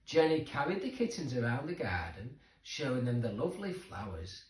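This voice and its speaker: Animated male storyteller in an echoey room. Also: medium pitch, normal speed. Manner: expressive, dramatic emphasis for young listeners. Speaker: storybook reader. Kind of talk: children's story narration. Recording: roomy echo, distant microphone.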